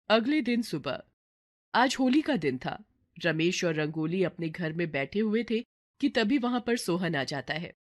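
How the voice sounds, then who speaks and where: A woman narrating a scripted dramatic story as a storyteller. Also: on the low side.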